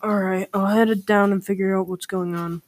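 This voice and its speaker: A woman voicing a kind young male olive farmer in a calm, plain, friendly way. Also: a little high, brisk, natural voice. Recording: distant from the mic.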